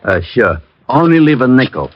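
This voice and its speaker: An actor playing a dim, gruff, touchy police sergeant in an old radio detective show, with slangy, ungrammatical, blustering speech. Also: male voice, medium pitch, sing-song, moderate pace, slight background noise, natural voice. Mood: dull, self-satisfied. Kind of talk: 1940s radio comedy-detective acting. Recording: muffled old radio recording.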